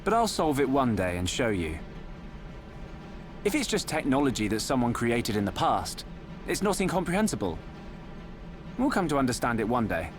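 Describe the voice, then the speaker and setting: A man's voice: medium pitch, very animated, normal speed, long pauses, background noise, lightly acted. An actor voicing an earnest, thoughtful young male researcher in a video game cutscene, explaining things with curiosity. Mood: earnest, determined. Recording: music behind the voice. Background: music.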